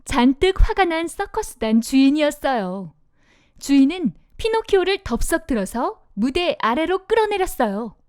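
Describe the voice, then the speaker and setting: A woman narrating a children's storybook in an expressive, sing-song manner. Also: high voice, moderate pace, dry recording, clean studio sound.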